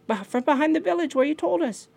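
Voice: high-pitched, animated, fast talker, vocal fry, darkish tone, pitched above the performer's own voice. A storyteller voicing small, eager, obedient young birds in a folk tale. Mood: eager, innocent.

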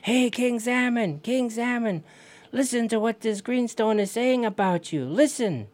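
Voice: mid-range voice, lively intonation, fairly quick, pitched above the performer's own voice, close to an everyday voice. A storyteller voicing a hungry, scheming raven trickster who calls out, coaxes and exclaims in a folk tale. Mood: goading, shouting.

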